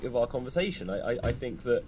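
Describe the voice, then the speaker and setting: A quick, articulate man giving firm, dryly witty opinions as a radio guest. He talks at a brisk clip in a mid-range voice. The sound is dull and muffled, with a light hiss under it. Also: medium pitch, big pitch swings, a little mellow. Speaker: magazine editor, studio guest. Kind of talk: radio discussion. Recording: dull broadcast audio with faint hiss.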